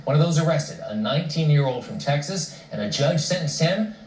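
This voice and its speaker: A man's voice: a little high, lively intonation, a little fast. A man anchoring a television newscast, reading scripted news. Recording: roomy, slightly distant, faint noise.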